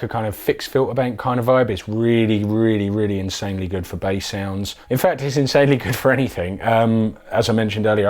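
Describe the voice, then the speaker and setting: A man with a slightly low voice walks through an instrument demo as presenter. His delivery is informal and keen, like a relaxed tutorial, with faint synthesizer music behind him. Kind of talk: casual product demo tutorial. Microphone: microphone.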